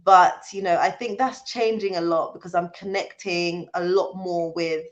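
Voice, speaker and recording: A woman's voice: lowish voice, fairly even intonation, moderate pace, lo-fi sound. A British woman hosting a casual livestream, talking to viewers in an earnest, emphatic way. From born in Jamaica, raised in the UK. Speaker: livestream host. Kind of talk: casual live chat with viewers, reading out comments.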